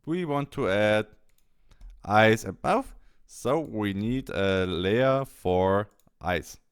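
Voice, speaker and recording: A man presenting a software tutorial, explaining things step by step in an instructional way. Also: fairly low pitch, lively intonation, very slow, smooth, no echo, studio quality. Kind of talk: step-by-step screen tutorial narration.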